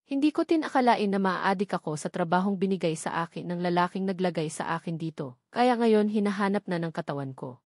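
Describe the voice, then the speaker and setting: A woman's voice: on the low side, lively intonation, a little fast, a little mellow, no room sound, studio quality. A woman with a Filipino accent reading a scripted first-person story as the narrator of an audio novel.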